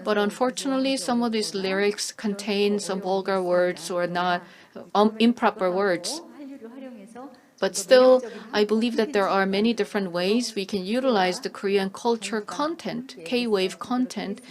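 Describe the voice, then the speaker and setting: A woman interpreting a conference talk live, in measured phrases with hesitant pauses. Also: medium pitch.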